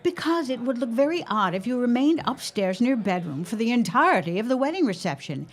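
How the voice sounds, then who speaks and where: An actress playing a doting, gently wry middle-aged 1910s mother in an audio drama, coaxing as she talks. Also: on the high side, sing-song, a little fast. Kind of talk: period audio drama acting.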